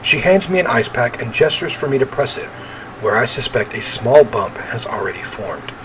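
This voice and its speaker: A man's voice: medium pitch, very animated, normal speed, noisy background. A man reading a visual novel aloud as he plays, with theatrical, emphatic narration and offhand reactions.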